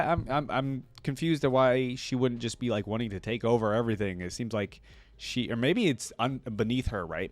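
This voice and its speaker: A man's voice: lowish voice, no room sound. A man hosting reaction videos, chatting casually to viewers in a rambling, musing, enthusiastic fan style. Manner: rambling, musing, often hedging with "like" and "you know".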